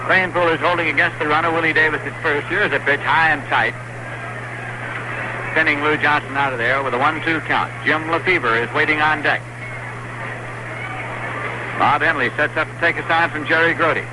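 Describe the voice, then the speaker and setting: A man calling a baseball game from a stadium booth in a measured, steady way, his voice sitting a little high. He comes through an old 1960s radio broadcast with the stadium crowd murmuring clearly behind him. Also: background noise. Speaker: play-by-play announcer.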